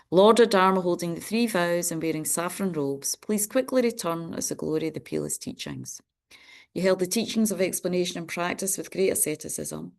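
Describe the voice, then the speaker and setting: A woman Buddhist nun leading a prayer ceremony for an online group, reciting in a steady, measured way and chatting warmly with participants. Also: on the low side. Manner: friendly, chatty greeting of participants.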